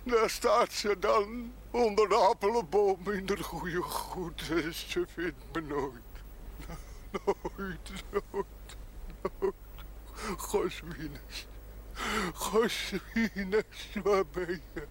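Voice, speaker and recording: Lost old widower, really slow halting high voice, distraught, old tv drama. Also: male voice. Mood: lost, grieving. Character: bewildered, grief-stricken old rustic widower. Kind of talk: 1970s television drama acting. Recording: faint noise on old TV audio.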